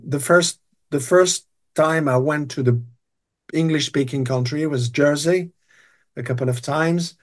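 Older male guest, middle pitch, halting anecdotal delivery. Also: a little mellow. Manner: rambling, hesitant, many restarts and fillers. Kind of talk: storytelling interview.

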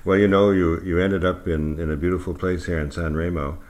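A man interviewing a guest in a relaxed, friendly way, joking along as he asks questions. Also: low-pitched, brisk. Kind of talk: casual radio-style interview.

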